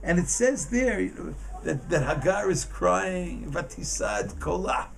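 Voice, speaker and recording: A man giving an informal Torah class as a rabbi, in a halting, emphatic manner that often repeats words. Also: high voice, very animated, gravelly. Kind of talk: informal religious lesson.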